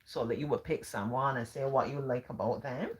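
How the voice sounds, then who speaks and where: A calm Barbadian woman hosting a live stream, talking casually in a gentle, sing-song way. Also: extremely low pitch, fairly quick.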